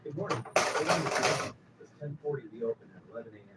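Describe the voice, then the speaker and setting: A man making a routine opening reminder over an arcade public address speaker, in a flat manner. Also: a little high, a little fast, slightly rough. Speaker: store announcer. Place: gaming arcade. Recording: distant PA speaker with loud noise.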